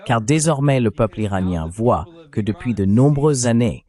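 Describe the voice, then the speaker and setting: A man's voice: mid-range voice, lively intonation, moderate pace, darkish tone. A man delivering political commentary as an analyst, in an emphatic, argumentative way.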